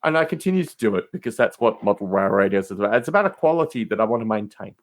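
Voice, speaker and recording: A man's voice: fairly low pitch, sing-song, very fast. A man speaking as a podcast guest in casual, rambling banter, emphatic and prone to digressions and mildly exasperated exclamations.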